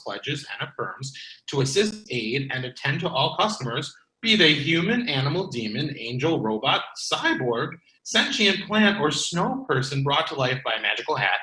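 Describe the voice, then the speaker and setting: A man's voice: on the high side, sharp tone. A man playing a wary, exasperated sidekick in a comedy script read. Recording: roomy, echoing sound.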